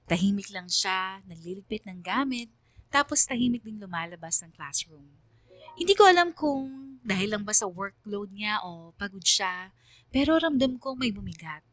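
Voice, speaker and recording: A woman plays a caring schoolgirl narrating her own story for a radio drama. The voice sits at a middle pitch, reflective and observant. The sound is dry and close. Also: expressive, moderate pace, fairly bright tone, dry recording, close to an everyday voice. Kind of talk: first-person storytelling narration.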